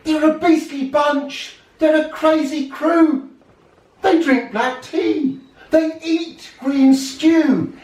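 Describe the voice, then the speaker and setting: A man's voice: very high-pitched, takes it slowly, a little mellow, voice pushed up. A man voicing an angry, scolding old alien grandfather from a storybook, chanting emphatic warnings. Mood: outraged. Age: older. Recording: roomy, distant home recording with hiss.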